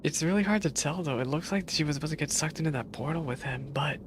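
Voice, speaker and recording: A woman's voice: low-pitched, quick pace, background noise. A woman streamer giving casual, amused game reaction commentary, musing aloud. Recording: game music behind.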